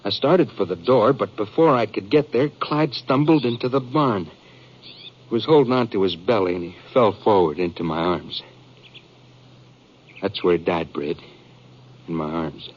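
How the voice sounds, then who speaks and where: A muffled old radio drama recording presents a frightened American ranch man on the run. His voice is on the low side, rustic and halting, and he sounds earnest and aggrieved in classic western style. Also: breathy edge. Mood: grieving, bitter. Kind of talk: 1950s radio drama acting.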